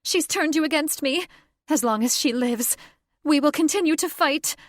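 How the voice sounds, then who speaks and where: A woman reading a story aloud, voicing an enraged young woman turning into a bird creature, with a shrill, screeching delivery. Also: fairly even intonation, normal speed, fairly bright tone, no room sound, studio quality. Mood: shrill, furious.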